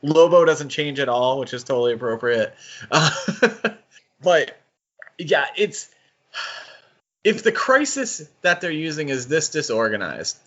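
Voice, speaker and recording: A man's voice: fairly high pitch, very animated, a little slow, long pauses, a bit bright, lo-fi sound. A man co-hosting a podcast in casual discussion, talking in a hesitant, rambling way and correcting himself as he goes. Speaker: podcast co-host.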